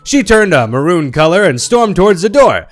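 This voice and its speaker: A man's voice: high voice, big pitch swings, moderate pace, soft background music. A man reading online stories aloud in a playful, wry storytelling manner. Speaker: narrator reading Reddit stories.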